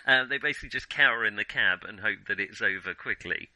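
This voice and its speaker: A man hosting a podcast, talking casually about film in a halting, hesitant way. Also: on the low side, pushed and bright, dry recording, low-quality recording. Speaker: podcast host.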